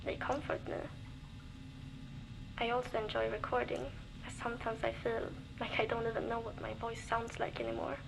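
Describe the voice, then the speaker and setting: A weary, swearing survivor woman, slightly high gravelly voice, dry humour, hissy cassette tape recording. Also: level tone, moderate pace. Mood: lonely. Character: lonely, worn-down woman survivor in a frozen wasteland. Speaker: game character recording audio diaries. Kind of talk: scripted voice acting as a diary log.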